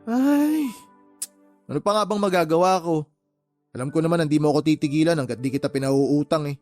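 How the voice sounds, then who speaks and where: A slightly high-voiced young man played by an actor as a weary, exasperated little brother in a radio drama. He grumbles and defends himself, with faint music underneath. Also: brisk, a little mellow, natural voice. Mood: resigned.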